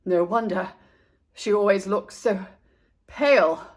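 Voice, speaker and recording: A woman's voice: mid-range voice, slow pace. A woman voicing a concerned, earnest father in a storybook.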